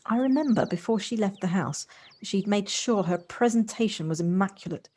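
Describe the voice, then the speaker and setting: A woman's voice: medium pitch, moderate pace, a little mellow, natural voice. A woman playing an eager, inquisitive newcomer in her forties in an amateur audio drama, sometimes hesitant. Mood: coaxing, friendly. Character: inquisitive, eager forty-five-year-old woman newcomer. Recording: noisy home recording on personal device.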